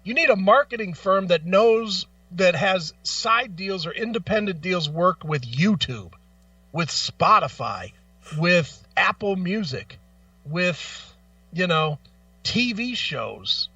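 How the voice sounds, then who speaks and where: A man's voice: high-pitched, sing-song, slow pace, bright, forceful tone, no echo, far from the mic, highs cut off, soft background music. A man hosting a talk show with blunt, emphatic, opinionated commentary, casual in style and repeating phrases for stress.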